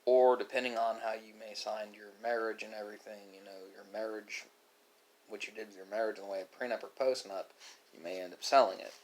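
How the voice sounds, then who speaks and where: Man musing slowly in a halting monologue. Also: on the low side, fairly even intonation, low-quality recording. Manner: philosophical, halting, with pauses and self-corrections. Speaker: ASMR video creator. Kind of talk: unscripted musing monologue to viewers during a mukbang.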